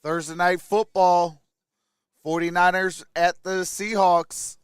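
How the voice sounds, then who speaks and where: Slow-talking American man with a clear voice. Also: sits high, little pitch movement, dry recording, clean studio sound. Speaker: sports livestream host. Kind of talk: casual livestream chat to viewers.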